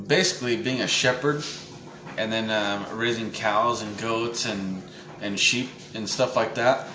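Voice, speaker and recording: Young man asking with many fillers. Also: lowish voice. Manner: filler-heavy, hesitant questions. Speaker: podcast host, grandson. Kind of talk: casual family interview. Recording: echoey room, faint noise.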